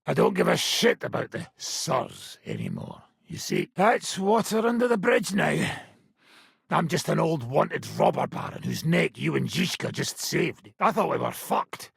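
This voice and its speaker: An actor playing a gruff, foul-mouthed old robber baron in a video game, with a rough, raspy voice and a blunt, sardonic manner. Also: male voice, fairly high pitch, wildly swooping pitch, a little slow, no echo, studio quality, exaggerated voice. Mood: wry, grumbling. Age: older. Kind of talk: video game character dialogue.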